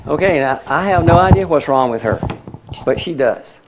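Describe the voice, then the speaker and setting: A man's voice: fairly high pitch, lively intonation, moderate pace, noisy background. A man leading a healing seminar demonstration in a church hall, talking the audience through each step and asking them questions. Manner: chatty, explains step by step, asks the audience.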